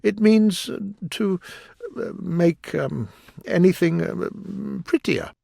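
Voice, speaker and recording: A polite, curious little girl from a storybook asking question after question, as a man puts her on. The voice is lifted high with a lively, bouncing melody, recorded close and dry. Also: slow, gravelly, no room sound, heavily acted. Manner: polite, inquisitive. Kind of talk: audiobook reading.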